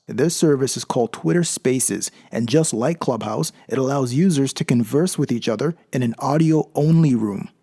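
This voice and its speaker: A man with a mellow voice hosting a tech channel, reading scripted tutorial narration. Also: medium pitch, creaky, breathy edge.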